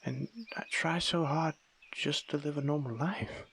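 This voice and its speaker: A man playing a lonely, young-looking aristocratic vampire in an intimate audio roleplay, guarded yet tender, with formal old-fashioned wording and a soft breathy delivery. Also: a little high, steady tone, unhurried, slight background noise. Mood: flustered, moved. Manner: formal, old-fashioned wording, hesitant stammers when moved. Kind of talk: ASMR audio roleplay, intimate dramatic monologue.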